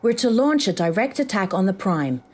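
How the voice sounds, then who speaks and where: A woman playing a brisk, dry-witted AI assistant in a comic sci-fi audio drama. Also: medium pitch, sing-song, a little mellow. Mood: dry, resolute. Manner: crisp, dry, businesslike.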